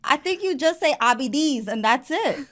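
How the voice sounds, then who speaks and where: A woman from Guyana, a guest chatting casually in a studio, animated and quick to laugh. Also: high voice. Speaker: guest, beauty brand founder. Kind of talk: casual chat.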